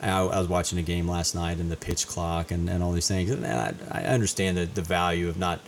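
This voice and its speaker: A middle-aged man from Indiana, a high school principal, answering interview questions in a rambling way and often repeating words. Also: flat delivery, brisk, slight background noise. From Indiana, USA. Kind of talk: casual interview.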